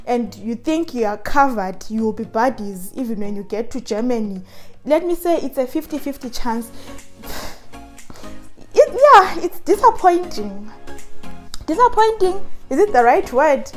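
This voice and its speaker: A woman with a Zimbabwean accent hosting a motivational advice vlog, speaking emphatically to her viewers and repeating phrases for stress. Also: a little high. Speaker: vlogger, channel host.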